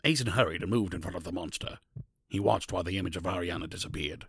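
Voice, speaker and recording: A man's voice: sits low, fast, creaky, fairly bright tone, husky. A man reading scripted narration for a fantasy audio drama.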